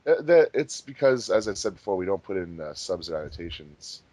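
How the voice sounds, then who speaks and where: Low-voiced man in lively commentary. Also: brisk. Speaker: fandub commentary track host. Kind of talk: casual commentary chat.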